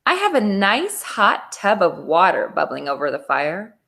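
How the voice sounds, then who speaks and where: A woman's voice: medium pitch, huge pitch swings, a little slow, vocal fry, a bit bright, heavily acted. A woman voicing a sly, hungry, sentimental big bad wolf in a children's storybook. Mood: sly, menacing.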